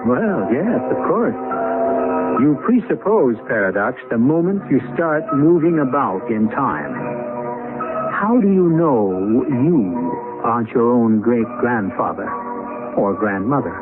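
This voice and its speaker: A man's mid-pitched voice comes through a tinny vintage radio recording with music clearly underneath. He narrates like a mystery host, measured and theatrical, posing questions to the listener. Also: sing-song.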